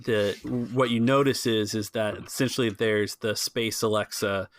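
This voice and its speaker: A man's voice: lowish voice, steady tone, normal speed, a little hiss or hum. A man working as game master, casually narrating a tabletop game and talking players through it. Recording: music behind the voice. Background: music.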